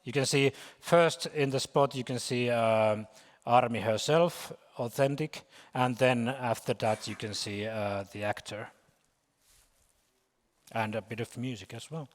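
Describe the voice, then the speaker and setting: Halting man in a reverberant hall. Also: medium pitch. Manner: halting, searching for words. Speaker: conference speaker. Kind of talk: informal presentation. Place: large conference hall.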